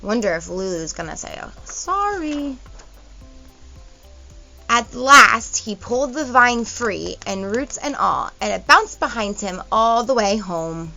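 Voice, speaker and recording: Female teacher for children's read-aloud, lively and expressive, dull lo-fi recording with light music behind. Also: mid-range voice, a little slow, gravelly, few pauses. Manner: expressive storytelling, explains to young listeners. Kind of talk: children's picture book read-aloud with asides.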